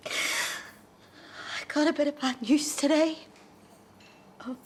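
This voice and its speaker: Shy waitress, high soft voice, very slow. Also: female voice, fairly even intonation, a little hiss or hum. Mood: apologetic. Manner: soft, apologetic.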